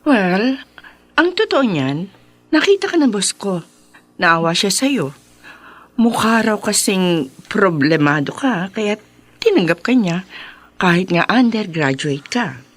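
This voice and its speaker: An actress playing a persuasive, obliging recruiter loyal to her boss in a radio drama, coaxing smoothly and mixing in English. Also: mid-range voice, sing-song, slow, pauses often. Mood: coaxing, casual.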